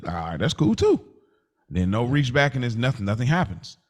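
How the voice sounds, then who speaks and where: An American man co-hosting a podcast, arguing casually with an exasperated, pleading manner, halting and repeating himself. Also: medium pitch, very animated. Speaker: podcast co-host. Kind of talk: heated casual debate.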